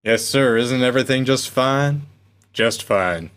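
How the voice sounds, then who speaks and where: A man's voice: medium pitch, unhurried, fairly bright tone, clean tone, close to an everyday voice. A man playing a proud, jovial old small-town father with a hearty, folksy manner in a sci-fi radio play.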